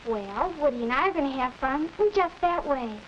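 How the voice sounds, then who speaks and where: An actress playing a cheerful, sensible teenage big sister in a 1940s educational film. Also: female voice, sits high, animated, normal speed. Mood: chatty, sensible. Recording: muffled, noisy 1940s film soundtrack.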